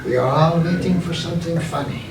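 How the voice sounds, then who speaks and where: Man playing solemn, deliberate old-school clown guru. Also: a little high, natural voice. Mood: stern. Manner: slow, deliberate, solemn, gentle but firm. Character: grave, intense male clown teacher, pompous guru. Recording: echoey, noisy room, distant mic.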